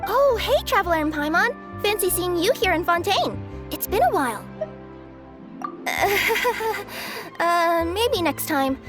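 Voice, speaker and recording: A woman's voice: extremely high pitch, very animated, slow, over music, exaggerated voice. A woman voicing a flustered, earnest young cat-spirit courier girl in a video game, chatty and apologetic, with a hesitant way of talking. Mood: friendly, sheepish. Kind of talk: English video game voice acting.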